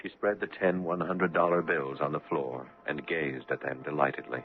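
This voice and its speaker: An actor playing a sombre man who narrates his own troubled past in a deliberate, literary 1970s radio drama style. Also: extremely low pitch, steady tone, slight background noise. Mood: tense, sombre. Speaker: first-person narrator. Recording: dull lo-fi old radio broadcast.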